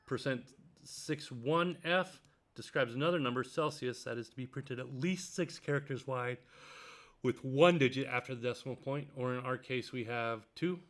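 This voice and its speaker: A man's voice: mid-range voice, normal speed. Man thinking aloud through code. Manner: thinks out loud, many ums and uhs; reads passages then comments on them. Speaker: programming tutor. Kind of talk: screen-recorded coding tutorial, reading a textbook aloud.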